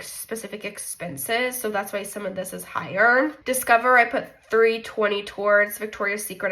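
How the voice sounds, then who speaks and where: A woman's voice: a little high, bright, forceful tone. A young woman with a congested, slightly nasal voice, chatting casually and self-deprecatingly as she walks through her monthly budget. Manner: chatty, self-deprecating, thinks aloud with frequent likes. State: congested from a cold. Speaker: budgeting vlogger.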